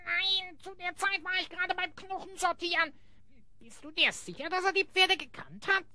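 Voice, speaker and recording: A woman voicing a small, cheeky, grumbling sidekick creature with a squeaky, sarcastic delivery in an audio drama. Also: moderate pace, bright, forceful tone, heavily acted. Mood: pert, dry. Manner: sarcastic, pert. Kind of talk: German audio drama acting.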